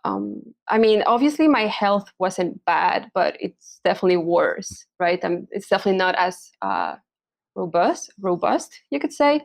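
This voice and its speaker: A woman's voice: mid-range voice, big pitch swings, normal speed, creaky. A young woman from Spain, a pregnancy coach, chatting in an interview, hesitant with many fillers and restarts. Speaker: hormonal and pregnancy coach, podcast guest. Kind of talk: casual interview chat.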